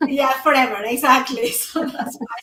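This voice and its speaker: Brief: woman in her 40s, high-pitched, earnest and halting, echoey and off-mic. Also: fairly even intonation, noisy background. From Albania. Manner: earnest, non-native English with frequent fillers. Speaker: guest. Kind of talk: casual conversation. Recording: echoey room, somewhat far from the mic.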